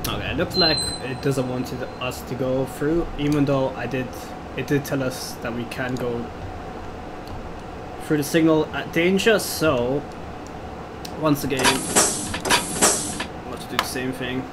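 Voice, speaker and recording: A man with a middling pitch commentates on a game in a loose, rambling way. His voice is worn out and nearly gone. Loud simulator noise runs beneath him throughout. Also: a little slow, long pauses. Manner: relaxed, rambling, with fillers. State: losing his voice. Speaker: gaming channel host. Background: loud train simulator sounds.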